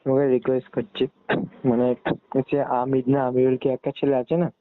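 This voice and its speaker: A young man speaks over a thin, noisy phone line. His delivery is casual and halting, often circling back before he gets a question out. His pitch sits in the ordinary male middle. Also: monotone. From West Bengal, India. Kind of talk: casual private phone conversation. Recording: narrow tinny phone line with noise.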